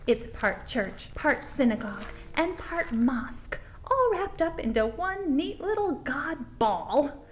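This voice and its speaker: A woman's voice: sits high, sing-song, slow pace, exaggerated voice, rough recording. A woman playing a polite, chatty, slightly bemused host who interviews townsfolk for a comic mockumentary. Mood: chatty, presenting. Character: polite, admiring small-town documentary interviewer.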